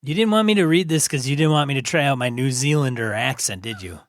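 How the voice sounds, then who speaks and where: A man with a mid-pitched voice co-hosts a casual gaming podcast. He is easygoing and quick to agree, banter and push back lightly. The recording is dry and close. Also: no echo. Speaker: podcast co-host.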